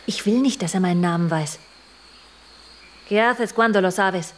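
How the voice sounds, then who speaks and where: A woman's voice: mid-range voice, moderate pace, slight background noise, lightly acted. An actress in a German radio drama playing a worldly wife who confides in her husband about their restless, jealous marriage. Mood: tense, defiant. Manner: literary, confiding, addressing her husband directly. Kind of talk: German radio drama, intimate narration and dialogue. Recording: music behind the voice. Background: music.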